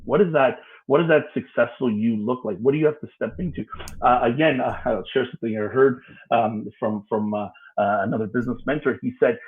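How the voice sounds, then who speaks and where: Forties male, business podcast guest, punchy and lively delivery, mid-pitched, slightly muffled line. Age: 40s. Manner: animated, emphatic, laughs readily. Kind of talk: casual podcast conversation.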